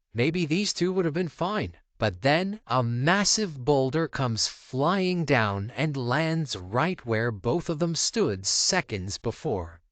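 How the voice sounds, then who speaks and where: A man's voice: fairly high pitch, a bit bright, dry recording. A man reading scripted narration for a video compilation.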